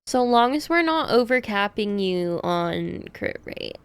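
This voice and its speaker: A woman's voice: medium pitch, sing-song, a little slow, smooth, dry recording. A woman streamer chatting casually on a livestream, thinking aloud about game builds and laughing often.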